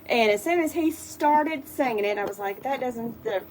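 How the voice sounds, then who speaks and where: A woman hosts a live radio show in a slightly high voice, chatting in a friendly, rambling way with lots of ums. Faint background noise runs under her in the studio. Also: lively intonation, normal speed. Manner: friendly, rambling, filler-heavy. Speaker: radio host.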